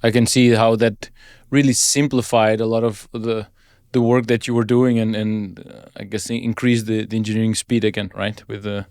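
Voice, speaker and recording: A man's voice: on the low side, flat delivery, moderate pace, hardly any pauses, a little mellow, clear, resonant tone, no echo, studio quality. A man hosting an interview in a conversational style, feeling his way tentatively through questions and rephrasing them. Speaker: podcast host, interviewer.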